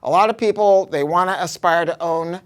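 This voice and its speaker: A man's voice: high-pitched, a little fast, clear, resonant tone. A man giving a casual product review, talking informally and emphatically with some salty language.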